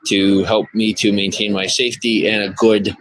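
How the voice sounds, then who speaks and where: A man from the USA livestreaming from his phone under a highway bridge, reading out viewer joins and gifts in a running list. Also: little pitch movement, moderate pace, a bit bright, slight background noise, rough recording. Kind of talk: live stream chat reading.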